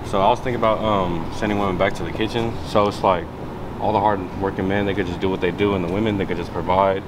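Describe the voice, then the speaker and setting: A man's voice: low voice, fairly even intonation, quick pace, over a noisy crowd, low-quality recording. A young man in casual street chat with passersby. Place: outdoors on a college campus. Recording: loud outdoor ambient noise.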